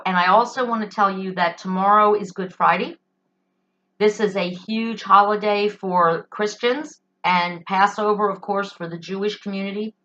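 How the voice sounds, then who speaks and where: A woman's voice: medium pitch, sharp tone. A middle-aged American woman hosting a solo talk show, giving an emphatic, indignant opinion monologue in a conversational style. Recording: dull, lo-fi sound.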